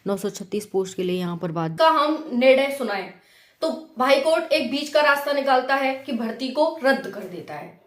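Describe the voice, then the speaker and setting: A woman's voice: high-pitched, animated, normal speed, reverberant. A woman hosting an informal explainer for viewers, conversational and often checking in with rhetorical questions. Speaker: host of a government exam news channel.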